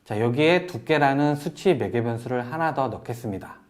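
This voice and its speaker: A man presenting a software tutorial in a polite, explanatory way, walking through each step as an instructor. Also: mid-range voice, moderate pace. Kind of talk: software tutorial narration over screen demo.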